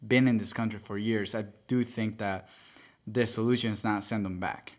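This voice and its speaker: A young man from El Salvador speaks as a podcast guest in a lowish voice. He tells his story earnestly and casually, and he stops often to restart and correct himself. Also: steady tone, moderate pace. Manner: earnest, with many fillers and self-corrections.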